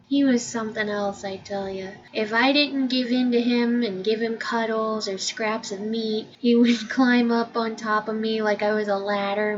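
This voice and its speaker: A young woman talking softly and sincerely in a personal monologue, close to tears at times. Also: mid-range voice. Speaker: podcast host. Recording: distant mic, dull sound, steady background hiss.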